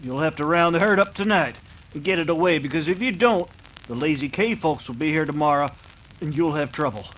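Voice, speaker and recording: A man's voice: fairly high pitch, lively intonation, a little fast, fairly bright tone, low-quality recording. A man playing an earnest, defiant young American cowboy in an old-time radio western. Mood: urgent, earnest.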